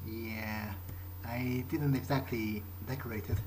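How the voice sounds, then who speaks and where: A man improvising in-character narration for a game playthrough, speaking in a halting, understated, thoughtful way. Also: mid-range voice, steady tone, noisy background. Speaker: gaming video creator roleplaying a character. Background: game music.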